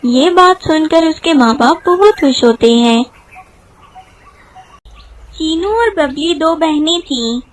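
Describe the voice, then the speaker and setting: A woman's voice: high-pitched, expressive, slow, long pauses, poor audio. A woman narrator telling a children's cartoon story.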